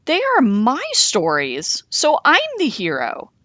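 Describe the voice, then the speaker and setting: A cocky storybook goose, voiced by a woman, stubborn and sure of being the hero. The pitch leaps about in an animated way, and the recording is dry. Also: takes it slowly, no echo, full character voice. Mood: stubborn, bossy. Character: boastful, stubborn, quick-thinking storybook goose.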